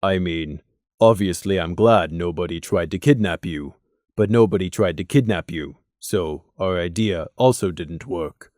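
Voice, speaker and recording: Audiobook creature role: narrator as deep-pitched pony, blunt, dismissive, unimpressed. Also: measured pace, darkish tone, dry recording, clean studio sound. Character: blunt, low-voiced pony companion.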